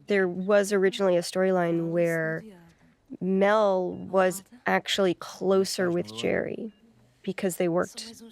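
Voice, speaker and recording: A woman's voice: medium pitch, fairly even intonation, unhurried, gravelly, darkish tone. A woman chatting casually in unscripted behind-the-scenes commentary.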